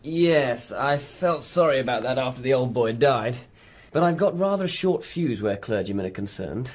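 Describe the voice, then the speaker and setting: A man's voice: medium pitch, lively intonation, moderate pace, close to an everyday voice. An actor as a sardonic, defensive young man. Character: sardonic, short-fused young man under suspicion. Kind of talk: radio mystery drama acting.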